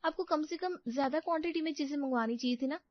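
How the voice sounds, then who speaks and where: A woman's voice: sits high, fast, no room sound, lo-fi sound, lightly acted. A woman voicing a breezy young daughter-in-law in a family story cartoon. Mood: fretful. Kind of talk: Hindi moral-story cartoon dialogue.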